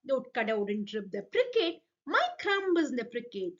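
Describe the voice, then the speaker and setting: Woman voicing a high, pleading little sparrow. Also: sing-song, moderate pace, muffled recording. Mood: pleading, insistent. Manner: chanting, repetitive pleading. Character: small pleading female sparrow, persistent and plaintive. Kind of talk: expressive children's folk tale storytelling.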